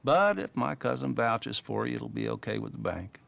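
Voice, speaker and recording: An actor playing a smug small-town banker in an Old West video game, with an American accent and a wry, teasing, patronizing manner. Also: male voice, mid-range voice, expressive, moderate pace, creaky, fairly bright tone. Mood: businesslike, smug. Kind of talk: video game western acting.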